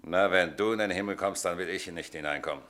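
An actor playing a gruff, scornful Roman centurion, blunt and sardonic, in a German radio drama. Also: male voice, deep voice, fast talker, fairly bright tone. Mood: dry, sardonic.

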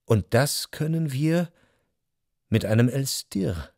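An actor playing a reflective, refined writer narrating his memories in a measured, literary radio drama manner. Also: mid-range voice, animated, gravelly, a little mellow, no room sound, studio quality, exaggerated voice. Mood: contemplative. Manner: measured, contemplative. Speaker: first-person narrator. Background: music behind the voice.

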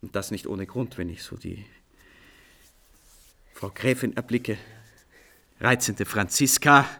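An actor in a German radio play playing an exuberant composer who tells of his own mishap in an animated, theatrical way. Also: fairly low pitch. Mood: charming, gallant. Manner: theatrical, animated, storytelling with shifting pace. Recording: faint music behind the voice.

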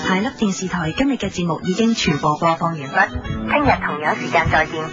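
A woman's voice: on the low side, expressive, brisk, lightly acted. A woman playing a female TV continuity announcer, delivering a comic station sign-off. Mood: formal, brisk. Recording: lo-fi audio under loud music.

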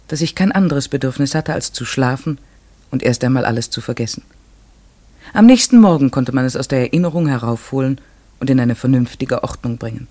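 An actor playing a reflective, troubled man who narrates a radio play in the first person, measured and literary. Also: mid-range voice, darkish tone, close to an everyday voice. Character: troubled, reflective male narrator, a village lodger.

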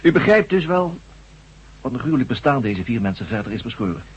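An actor playing an authoritative professor of brain surgery in a radio drama, formal, measured and lecturing. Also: male voice, medium pitch, big pitch swings, husky. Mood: grave, emphatic. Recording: dull lo-fi old radio recording.

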